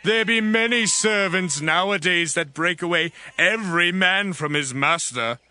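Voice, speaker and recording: A man playing a surly, scornful rich sheep farmer in a dramatized Bible, with drawn-out contemptuous delivery. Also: high voice, sharp tone, smooth, heavily acted. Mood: scornful, indignant. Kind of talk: dramatized audio Bible acting in archaic English.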